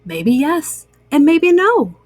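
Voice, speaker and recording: Woman as a playful frog with swooping pitch. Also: big pitch swings, takes it slowly, no room sound, clean studio sound, exaggerated voice. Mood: teasing. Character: playful, teasing male frog telling a spooky tale.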